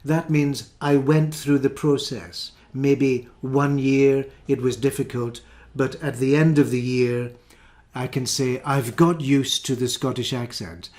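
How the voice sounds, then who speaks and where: A man's voice: mid-range voice, fairly even intonation, unhurried, darkish tone. A man teaching an English grammar lesson, carefully explaining and repeating each example sentence.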